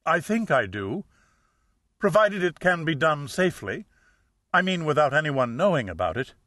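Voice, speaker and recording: A male narrator acts out a nervous, well-off middle-aged woman in a detective audiobook. She sounds hesitant, earnest and plaintive, with a bright tone in a dry recording. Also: fairly high pitch, very animated, moderate pace, no echo. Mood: hesitant, ashamed. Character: anxious, ashamed middle-aged wealthy woman client.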